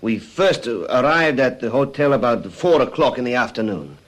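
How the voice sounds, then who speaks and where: A man acts out a cowed suspect in a classic radio crime drama. His voice is of middling pitch. He speaks in earnest, halting phrases, repeating himself as he eagerly gives up what he knows. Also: lively intonation, close to an everyday voice. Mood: halting confession. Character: cowed, eager-to-confess male arson suspect, Italian immigrant.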